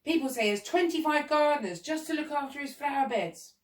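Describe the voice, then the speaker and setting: A woman's voice: high-pitched, expressive, brisk, pitched above the performer's own voice. Woman as Billy, an earnest young boy. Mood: informative. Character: Billy, eager polite young boy narrator. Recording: roomy echo, distant from the mic.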